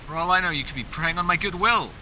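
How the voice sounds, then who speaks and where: A man's voice: on the high side, fast talker, bright, forceful tone, slight background noise, poor audio. A man voicing a mysterious, playful elf scholar in a fantasy ASMR roleplay, speaking in genteel, theatrical, formal phrases. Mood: teasing. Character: mysterious, courteous, playful male elf scholar living alone. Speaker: voice actor. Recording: rain, thunder and storm ambience behind. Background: rain and thunder sound effects.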